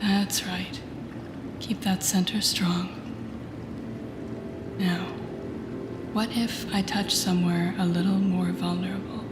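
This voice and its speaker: A woman playing a telepathic villain, a guarded and intense mentor with a secretly tender side, in an intimate audio roleplay with slow, breathy, deliberate delivery. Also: on the low side, flat delivery, noisy background. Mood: soft, coaxing. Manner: slow, deliberate, often dropping into breathy near-whispers. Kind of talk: intimate audio roleplay acting addressed to the listener. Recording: roomy echo with music behind.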